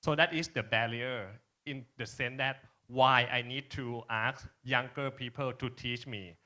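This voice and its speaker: Male conference speaker, Thai accent, forceful bright tone, halting informal delivery that keeps checking in with listeners. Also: mid-range voice, fairly even intonation. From Thailand. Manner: halting, frequent tag questions "right?". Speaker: conference speaker, social enterprise presenter. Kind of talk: informal presentation and panel answer.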